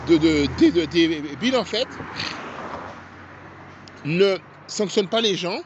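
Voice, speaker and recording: A man's voice: high-pitched, animated, slow, lo-fi sound, noisy background. A man with a foreign accent in French, ranting indignantly to camera in a vlog, stammering and repeating himself as he gets heated. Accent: non-native French accent. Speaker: vlogger. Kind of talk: angry political rant to camera.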